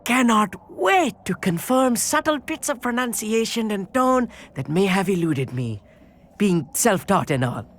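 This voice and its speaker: Eager, animated schoolboy wizard. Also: male voice, high voice, measured pace. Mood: excited, proud. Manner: earnest, polite, slightly pompous. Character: eager, bookish, polite teenage wizard schoolboy. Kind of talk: fantasy video game dialogue. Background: faint background music.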